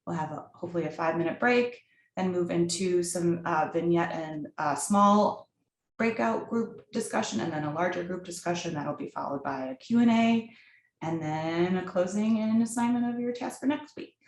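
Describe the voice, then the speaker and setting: A woman opening and hosting an online workshop, speaking deliberately with some fillers over a video call. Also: fairly low pitch, vocal fry, reverberant. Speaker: workshop host.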